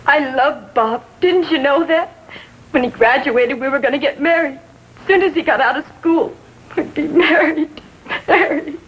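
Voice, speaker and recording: A woman playing a high-voiced, distraught teenage girl. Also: muffled recording, natural voice. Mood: grieving. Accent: American. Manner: halting short sentences. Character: distraught, lovestruck sixteen-year-old girl, gang member's girlfriend. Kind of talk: 1950s TV drama acting. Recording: faintly noisy vintage TV soundtrack.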